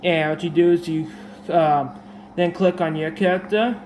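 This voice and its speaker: A man with a slightly raised pitch and a dry, sore throat that roughens his voice a little talks through a game tutorial. He wanders and restarts, filling gaps with ums and alrights. The recording is echoey, with steady background noise from the camera mic. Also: normal speed. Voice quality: slightly raspy. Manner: rambling, many fillers and restarts. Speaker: gaming video creator. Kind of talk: casual game tutorial commentary.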